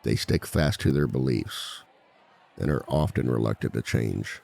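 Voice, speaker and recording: A man playing a reformed villain who lectures at a seminar on romance in a measured, articulate, formal and dryly self-assured way. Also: a bass voice, gravelly, a little mellow, a faint crowd, exaggerated voice. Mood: dry, confident. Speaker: seminar speaker and relationship expert. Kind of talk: scripted comedic lecture roleplay.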